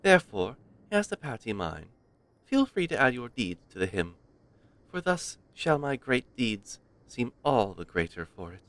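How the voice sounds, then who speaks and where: A man's voice: mid-range voice, sing-song, a bit bright. A man voicing a haughty, self-important little creature from a game in a posh, formal, royal manner. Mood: pompous, proud. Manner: pompous, slow, formal. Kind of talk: reading game dialogue in character.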